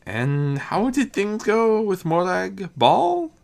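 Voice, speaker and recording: A woman's voice: medium pitch, sing-song, slow pace, vocal fry, darkish tone, pitched above the performer's own voice, exaggerated voice. A woman voicing a theatrical mad male prince and wary father in a story, proclaiming grandly and easily flustered. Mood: exasperated, nervous. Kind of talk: fan fiction story reading.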